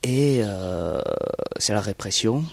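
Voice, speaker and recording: A man from South Vietnam speaking, recounting his past in an interview with frequent hesitant pauses and fillers. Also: lowish voice, animated, vocal fry, darkish tone. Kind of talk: recounting personal history.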